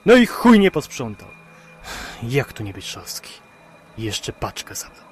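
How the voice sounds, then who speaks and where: Man playing a gruff, irritable taxi driver. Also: mid-range voice, huge pitch swings, measured pace, exaggerated voice. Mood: irritated, curt. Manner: curt, blunt, grumbling. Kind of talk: audio drama dialogue.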